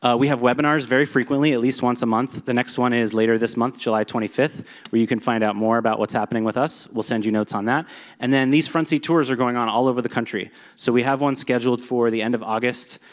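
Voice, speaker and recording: A man presents live to a room full of people, keeping it upbeat and chatty with the odd "um". His voice sits at an ordinary pitch. The sound rings with long reverb from a large hall. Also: steady tone, fast talker. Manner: upbeat, conversational, with fillers. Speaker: presenter at a company meetup.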